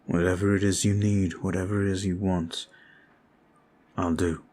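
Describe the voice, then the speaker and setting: A man voicing a soft-spoken, earnest vampire. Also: deep voice, little pitch movement, normal speed. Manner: earnest, formal and quiet. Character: devoted young male vampire, solemn and indebted. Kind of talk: ASMR roleplay audio.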